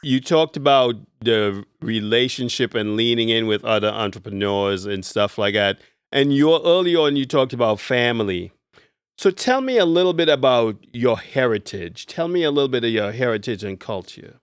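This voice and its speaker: A man's voice: mid-range voice, animated, normal speed, clean tone, no echo, clean studio sound. A man hosting an interview, asking loose, stacked questions and rephrasing as he goes. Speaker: interviewer, host.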